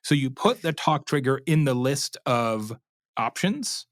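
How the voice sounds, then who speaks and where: A man's voice: mid-range voice, unhurried. A man with a mid-range voice speaks as an expert guest in an interview. He explains in a conversational, storytelling way, slipping into imagined quotes and checking in with the listener. The sound is dry, with very little room echo. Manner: animated storyteller, acts out imagined customer quotes, checks in with "right?".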